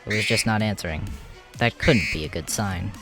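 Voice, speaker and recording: A man's voice: fairly low pitch, expressive, normal speed, vocal fry. A man narrating a comic dub in a dramatic scripted storytelling style. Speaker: narrator and voice actor. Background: music.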